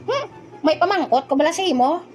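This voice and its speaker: A woman's voice: sits high, lively intonation, brisk. A woman voicing a silly, cheeky young boy in a comic audio drama, playful and full of questioning little interjections. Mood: mock earnest. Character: silly boy joker Noy Noy, cheeky and simple.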